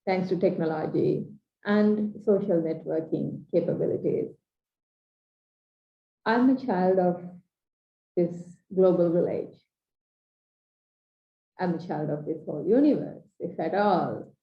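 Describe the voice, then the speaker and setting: A woman from Sri Lanka with a Sri Lankan English accent, giving an earnest, measured coaching talk in short, paused phrases. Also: fairly low pitch. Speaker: transformational coach. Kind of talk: slow reflective training talk. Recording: dull echoey room recording.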